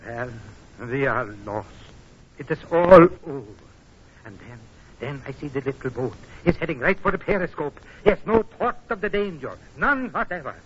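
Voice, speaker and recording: An actor playing an earnest foreign ship captain, telling his story dramatically in halting, formal phrases in 1940s radio style. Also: on the high side, lively intonation, a bit bright, slightly rough, dull audio. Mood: tense, awed. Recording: noisy, band-limited 1940s radio broadcast.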